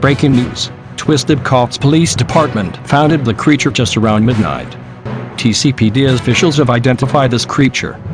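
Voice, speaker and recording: A man's voice: mid-range voice, animated, normal speed, over music, natural voice. A man playing a morning news anchor, reading scripted headlines in a businesslike way.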